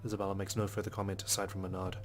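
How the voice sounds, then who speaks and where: A man's voice: deep voice, completely flat delivery, rapid-fire, soft background music. A man reading a visual novel aloud as a let's player, mixing scripted narration with casual commentary.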